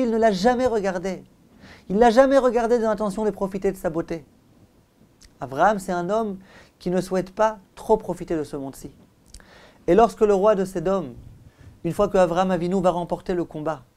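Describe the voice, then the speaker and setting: A man giving a Torah class as a rabbi, didactic and emphatic, asking rhetorical questions, repeating for emphasis and letting phrase endings drop softly. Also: high voice. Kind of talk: Torah lecture.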